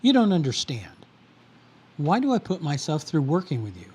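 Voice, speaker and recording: A man's voice: fairly high pitch, huge pitch swings, moderate pace, darkish tone, husky, exaggerated voice. An actor playing a controlling male spymaster who speaks condescendingly and insistently. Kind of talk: audio drama acting.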